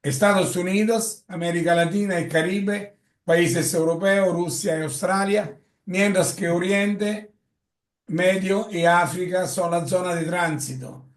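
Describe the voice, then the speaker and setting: A man with an Italian accent gives a lecture in Spanish, often halting with fillers and correcting himself. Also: high voice. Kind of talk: lecture presentation. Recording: echoey room.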